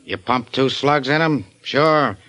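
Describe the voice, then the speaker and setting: A man's voice: medium pitch, slow, sharp tone. An actor playing a hard-nosed police sergeant in 1940s radio style, bullying and sarcastic as he grills a suspect. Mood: grilling.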